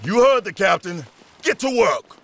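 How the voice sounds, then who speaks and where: A man's voice: sits high, sing-song, measured pace, creaky, a bit bright, full character voice. A man playing a harsh pirate first mate barking orders in an audio drama.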